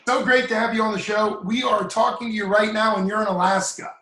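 A man's voice: sits high, steady tone, fast talker, sharp tone, reverberant. A talkative man hosting an interview podcast and asking long, friendly questions. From United States. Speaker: podcast host. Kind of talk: casual interview.

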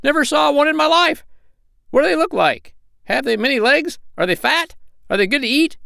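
A man's voice: lively intonation, normal speed, creaky, pushed and bright, no room sound, studio quality, exaggerated voice. A man voicing a hungry, cheeky young sandpiper chick in a childlike, exclamatory storybook voice. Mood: curious. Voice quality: high, squeaky put-on voice. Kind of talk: storybook character voice.

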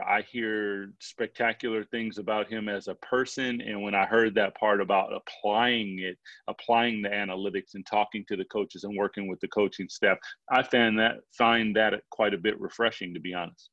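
A man's voice: lowish voice, fairly bright tone, no echo, highs cut off. A man speaking as a regular analyst on a sports podcast, thinking aloud with hedges and repeated words. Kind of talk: casual sports analysis talk.

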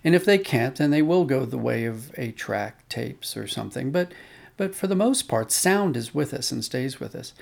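A man's voice: medium pitch, lively intonation, normal speed, creaky, a little mellow. A man being interviewed as an audio drama producer, explaining conversationally and telling anecdotes with quoted dialogue.

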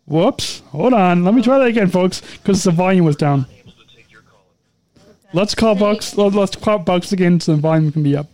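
A man's voice: high voice, normal speed, darkish tone, no room sound. A man co-hosting a casual podcast, signing off with repeated, stumbling, upbeat plugs. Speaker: podcast co-host.

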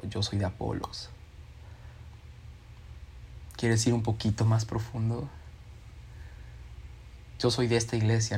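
A man's voice: lowish voice, darkish tone. A man preaching a sermon, reading scripture and acting out quoted voices with expression.

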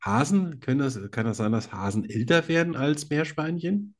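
A man's voice: fairly high pitch, animated, moderate pace. A man in his fifties co-hosting a podcast, chatting casually with teasing jokes and rambling stories. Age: 50s. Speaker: podcast co-host. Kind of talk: casual chat.

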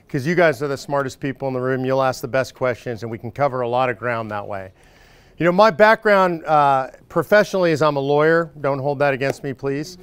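A man in his forties giving a loud, casual, joking talk to a live audience of supporters. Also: medium pitch, smooth, no room sound. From Northern California. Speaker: speaker addressing supporters. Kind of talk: casual stump speech.

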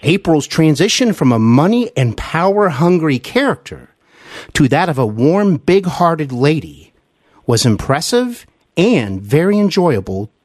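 An American man hosts a podcast with an effusive, scripted delivery. His voice sits fairly high and moves around a lot in pitch. The sound is close and dry, with a slightly husky edge. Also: very animated, unhurried, darkish tone, dry recording. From United States. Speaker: podcast host.

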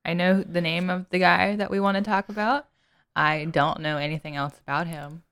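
A woman's voice: on the low side, fairly even intonation, moderate pace, a bit bright, clean tone. A young woman co-hosting a casual podcast, teasing her co-host and laughing often. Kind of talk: casual chat.

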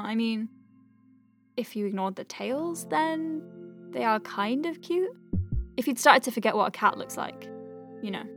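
A woman playing a wry, soft-hearted young clinic doctor in an audio drama, chatting informally as she dictates her notes. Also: a little high, close to an everyday voice. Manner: chatty, wry, hesitant asides. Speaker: lead voice actor.

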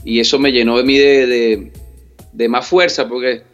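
A man's voice: mid-range voice, level tone, normal speed, smooth, highs cut off, soft background music. A man from Venezuela, a reggae artist, answering interview questions in an earnest way, often repeating words and checking that he is understood.

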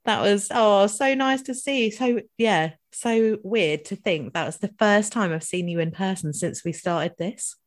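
A woman's voice: mid-range voice, unhurried, hardly any pauses. A Scottish woman co-hosting a casual podcast, teasing and laughing often. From Scotland. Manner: giggly, teasing, sweary. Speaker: podcast co-host. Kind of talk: casual chat.

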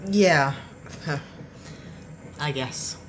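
A woman's voice: low-pitched, slight background noise. A woman playing an anxious, awkward human space traveller in a sci-fi audio drama, with a hesitant, naturalistic delivery. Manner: hesitant, flustered, halting.

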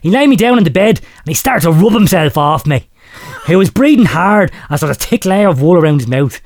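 Lively man reading comically. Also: sits high, brisk. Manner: laughs often while reading, lively mock storytelling. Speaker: podcast host. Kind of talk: comic reading of a listener letter.

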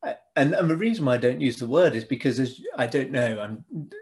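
A man, speaking as a guest on a conversational podcast. He explains his thinking earnestly, with plenty of ums and restarted sentences. His voice sits at a middle pitch for a man. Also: lively intonation, fairly quick, a little mellow. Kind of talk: casual interview chat.

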